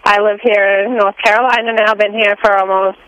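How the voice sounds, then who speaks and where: A woman's voice: mid-range voice, flat delivery, brisk, fairly bright tone, slight background noise, poor audio. A woman with a Boston accent calling a radio show, chatty and laughing at herself. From Boston South Shore. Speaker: caller. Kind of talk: phone-in chat.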